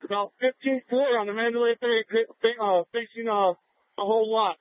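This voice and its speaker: A man speaks as a police officer in urgent emergency radio traffic. His voice is high for a man and comes through a thin, narrowband radio channel. The delivery is clipped, and the sound is dry. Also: steady tone, normal speed, no echo.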